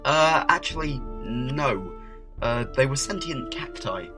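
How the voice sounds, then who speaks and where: A man playing a distracted, wry, brooding time traveller in an audio drama, speaking haltingly. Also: medium pitch, expressive, music in the background. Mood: explaining. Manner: halting, hesitant, full of uhs.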